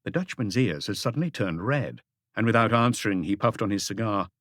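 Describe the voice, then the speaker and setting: A male audiobook narrator with a fairly low voice reads literary prose. He is measured and composed in his storytelling. The recording is very dry, with no room sound. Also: animated, a bit bright, no room sound.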